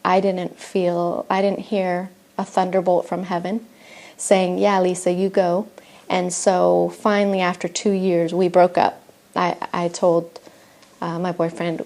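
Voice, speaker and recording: A woman's voice: lowish voice, dark tone. A middle-aged woman from Argentina, a native Spanish speaker, telling her personal faith story in an earnest, reflective way with some restarts. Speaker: missionary. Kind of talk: personal testimony.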